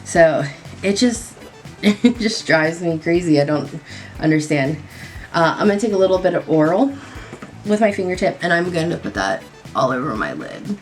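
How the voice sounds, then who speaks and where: A woman's voice: fairly low pitch, animated, normal speed, soft background music. A young woman doing her makeup on camera, rambling casually with lots of fillers, her voice a little nasal after a cold. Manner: rambling, lots of likes and ums. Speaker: beauty vlogger. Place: home at night.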